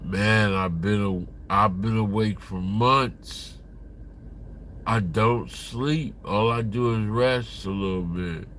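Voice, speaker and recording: Slow, deep-voiced man in his fifties. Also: fairly bright tone, a little hiss or hum. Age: 50s. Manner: rambling, profane, talks to chat. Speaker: livestreamer. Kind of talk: casual livestream chat, reading viewer comments aloud.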